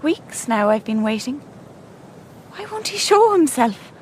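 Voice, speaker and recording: Anguished young Irish woman, higher voice. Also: big pitch swings, unhurried, darkish tone, a little hiss or hum. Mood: obsessive longing. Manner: anguished, obsessive, emotional. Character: grief-stricken, guilt-ridden young Irish woman. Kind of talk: radio drama acting.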